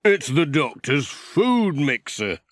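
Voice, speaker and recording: A man's voice: on the high side, big pitch swings, takes it slowly, fairly bright tone, dry recording, clean studio sound, exaggerated voice. A man voicing a cheerful, eager and insistent village handyman in a children's storybook.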